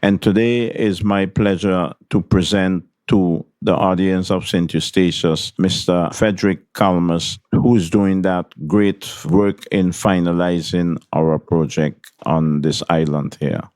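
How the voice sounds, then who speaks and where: A man's voice: low voice, fairly even intonation, measured pace, hardly any pauses, darkish tone, dry recording. A man presenting a guest to an audience in a formal introduction.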